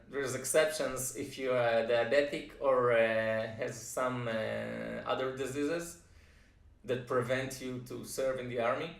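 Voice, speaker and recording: A man in his thirties, a native Russian speaker from Russia, introducing himself in an earnest, plain vlog with frequent fillers. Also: mid-range voice. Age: 30s. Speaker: YouTube composer. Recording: roomy echo, mic set far off.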